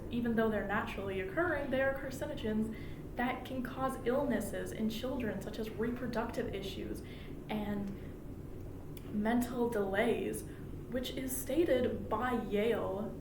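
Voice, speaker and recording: A woman delivering a prepared persuasive public speech in an earnest, explanatory way, as a student speaker. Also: fairly high pitch. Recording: echoey room from far off, clearly noisy.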